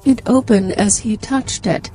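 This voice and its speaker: A woman's voice: mid-range voice, unhurried, dark tone, soft background music, rough recording. A woman narrating a scripted fairy tale as a storyteller.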